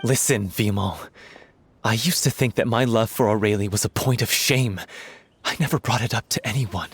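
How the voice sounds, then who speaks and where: An actor playing a lovesick, hot-tempered male troupe actor in a video game, earnest and quick to shout. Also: lowish voice, a little hiss or hum, close to an everyday voice.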